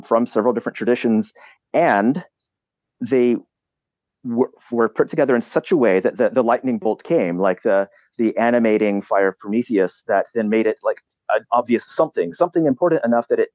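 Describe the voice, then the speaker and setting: A man speaking as a podcast guest, explaining ideas in a halting, reflective way with many restarts and fillers. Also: fairly low pitch, a little mellow, no echo, muffled recording.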